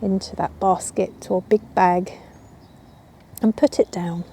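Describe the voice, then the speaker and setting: A woman yoga teacher guiding a relaxation in a soothing, unhurried way with long pauses. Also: mid-range voice, steady tone, creaky, warm tone, slightly rough. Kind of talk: guided yoga nidra relaxation. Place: outdoors in a garden. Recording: outdoor ambience with birdsong. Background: birds and garden ambience.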